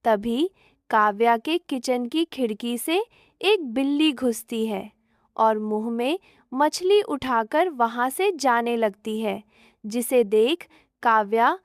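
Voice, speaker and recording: A woman's voice: on the high side, expressive, takes it slowly, no echo, studio quality. A woman narrator reading a scripted story.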